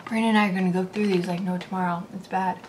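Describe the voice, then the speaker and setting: A woman's voice: fairly low pitch, fairly even intonation, fast talker, noisy background. A woman in her twenties chatting casually to a vlog camera at home, informal, slangy and enthusiastic. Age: 20s. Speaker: vlogger. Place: indoors at home, kitchen, bedroom and living room.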